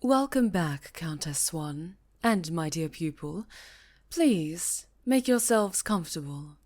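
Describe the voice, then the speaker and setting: A stern high enchantress and mentor of witches, played by a woman, speaks in a lowish, very measured voice. She is proud and guarded, with courteous, formal wording in an ASMR fantasy roleplay. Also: lively intonation, a little mellow. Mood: gracious, measured.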